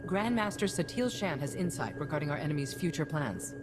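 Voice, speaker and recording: A woman's voice: low voice, big pitch swings, a little fast, background noise. A woman playing a commanding head of state in a video game, speaking in a firm, oratorical way. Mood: resolute. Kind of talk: scripted video game acting.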